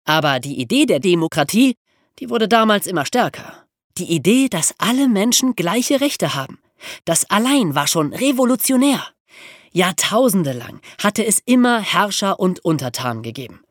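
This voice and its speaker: A woman explaining things as a podcast host in a lively educational storytelling style. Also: medium pitch, normal speed, no echo, studio quality.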